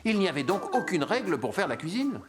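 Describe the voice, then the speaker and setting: Gentle male cartoon narrator. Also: high-pitched, big pitch swings, fairly quick, loud music behind the voice. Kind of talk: scripted storybook narration.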